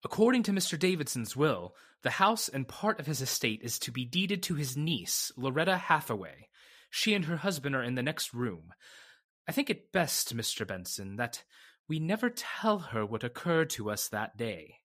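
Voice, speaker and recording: A man playing a grave, confiding lawyer in an old-time radio drama, speaking formally and deliberately. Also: medium pitch, very animated, fairly bright tone. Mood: secretive. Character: grave, confiding male lawyer settling an estate.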